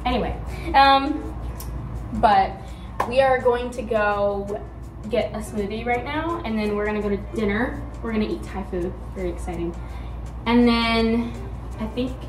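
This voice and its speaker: An eighteen-year-old woman vlogging into a phone held at arm's length, chatting casually with a sarcastic, deadpan edge. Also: a little high, measured pace, faint music behind, poor audio. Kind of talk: casual vlog chat. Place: car, then small hotel room.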